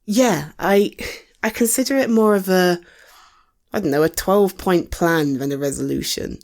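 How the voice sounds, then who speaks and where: A British woman playing a caring, supportive partner in an intimate conversational audio roleplay, speaking softly with a gentle, hesitant, coaxing manner. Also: on the low side, moderate pace, close to an everyday voice. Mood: relaxed, agreeable.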